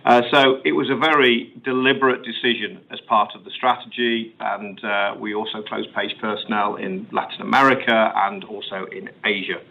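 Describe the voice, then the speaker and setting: A male company executive answering questions on an earnings call in a measured, explanatory way. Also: lowish voice, fairly even intonation, vocal fry. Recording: muffled phone-line conference call audio.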